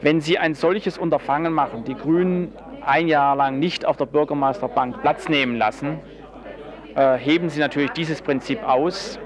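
A man answering as a politician in an interview, explaining in formal, measured and carefully worded language. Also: on the high side, crowd noise.

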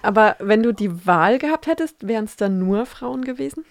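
A woman's voice: mid-range voice, expressive, normal speed, dry recording. Woman interviewer with a dry, close sound. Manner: conversational, friendly questions. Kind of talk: casual interview questions.

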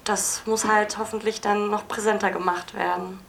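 Woman answering questions, clearly noisy live recording. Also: mid-range voice, little pitch movement, moderate pace, fairly bright tone. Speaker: film director at an audience Q&A. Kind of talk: spontaneous interview answers. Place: film festival screening venue.

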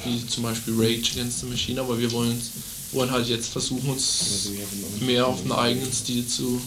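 A man's voice: medium pitch, little pitch movement, brisk, noisy background. A man in his late teens, a band member, talking casually in an interview and searching for his words.

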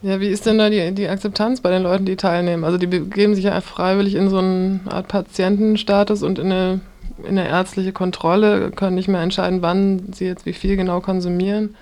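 A woman interviewer with a mid-pitched voice puts informal questions to guests. Her intonation is fairly even. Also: a little fast.

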